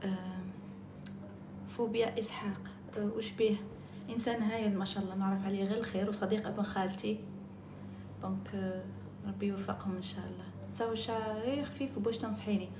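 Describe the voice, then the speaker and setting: A woman's voice: medium pitch, steady tone, fast, reverberant, background noise. A woman with an Algerian accent chatting casually on a live stream, jumping between topics and mixing in French and English words.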